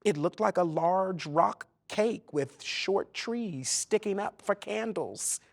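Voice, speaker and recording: Slow, tender male storyteller. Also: high voice, lively intonation, vocal fry. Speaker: actor. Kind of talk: dramatic reading of a written letter.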